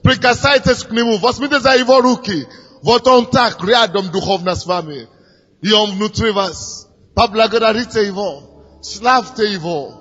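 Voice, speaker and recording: Man, fervent pastor, high voice, dull old recording in a reverberant church hall. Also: normal speed, soft background music. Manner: fervent, builds to shouts, repeats phrases. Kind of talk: sermon leading prayer.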